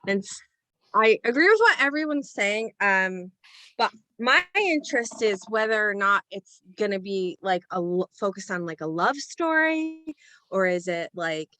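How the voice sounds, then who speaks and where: A woman's voice: medium pitch, very animated, measured pace, pushed and bright, dry recording, low-quality recording. Woman giving informal feedback, dry sound. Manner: informal, hesitant phrasing with frequent "like". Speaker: script reading panelist. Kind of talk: casual feedback discussion.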